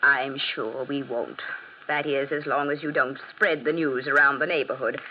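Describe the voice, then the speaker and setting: A woman's voice: rumbling low, fairly even intonation, moderate pace. An actress playing a composed, dry middle-aged mother in a 1940s radio drama. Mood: polite, calm. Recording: muffled noisy old radio recording.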